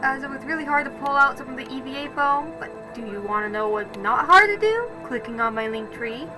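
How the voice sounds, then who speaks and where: Woman w higher voice casually narrating a craft vid, rambly, corrects herself, music behind. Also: lively intonation, fairly quick. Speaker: craft video creator.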